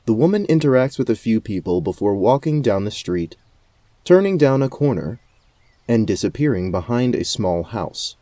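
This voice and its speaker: A man's voice: lowish voice, big pitch swings, moderate pace, dark tone, dry recording. A man giving scripted narration for a fantasy audio drama. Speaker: narrator and game storyteller.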